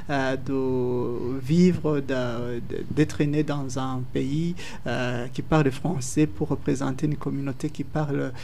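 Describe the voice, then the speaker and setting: Halting man. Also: medium pitch, fairly even intonation, creaky, mellow, a little hiss or hum. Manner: halting, searching for words. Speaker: interviewer. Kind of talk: interview questions.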